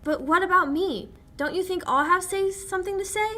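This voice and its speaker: A young woman acting an anxious, sharp-tongued fiancée in a 1940s-style radio drama. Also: very high voice, a little fast. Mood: indignant, anxious. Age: young adult. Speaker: high school actor. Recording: background noise.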